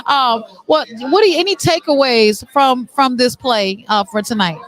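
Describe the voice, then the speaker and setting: A woman's voice: high-pitched, normal speed, low-quality recording. A middle-aged woman hosting a casual on-location interview, chatty and playful, generous with compliments. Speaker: show host and interviewer. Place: on location at a theatre after a stage play.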